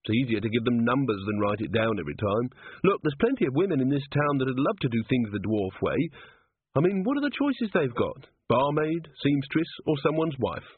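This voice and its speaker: A man's voice: mid-range voice, very animated, a little fast, narrow, tinny audio, a little hiss or hum, lightly acted. A man voicing a wry, reassuring policewoman in a fantasy storybook reading. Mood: frank, explaining.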